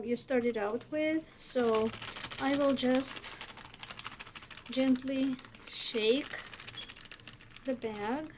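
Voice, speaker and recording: A young woman talks through a cooking tutorial at an unhurried pace, her voice a little raspy from smoke in the air. She is chatty and fills her sentences with fillers. Her pitch sits fairly high, with a faint hiss of noise under the recording. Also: darkish tone. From northern United States. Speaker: cooking video host.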